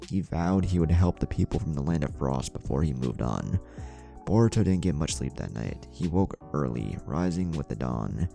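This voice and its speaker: Really deep mellow guy narrating a story, voice moves around a lot, faint music behind. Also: creaky. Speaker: narrator reading fan fiction aloud. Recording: music bed under the voice.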